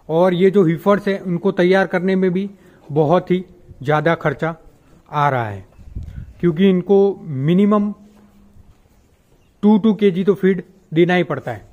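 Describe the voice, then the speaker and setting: A young man, a dairy farmer, chatting casually in a vlog, explaining things with many pauses, recorded on a phone held at arm's length outdoors at a farm shed. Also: high voice, darkish tone, lo-fi sound.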